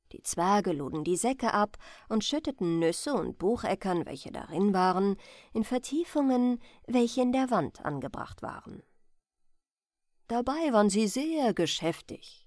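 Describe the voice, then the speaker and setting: Slow, expressive woman storyteller, dry close sound. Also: medium pitch, no room sound. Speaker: storyteller reading a fairy tale. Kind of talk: scripted bedtime story narration.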